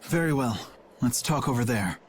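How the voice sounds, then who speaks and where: An actor playing an earnest, dutiful young knight captain in a video game, speaking formally and with solemn restraint. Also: male voice, mid-range voice, animated, normal speed, a little hiss or hum, lightly acted. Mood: solemn confession. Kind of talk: English video game voice acting.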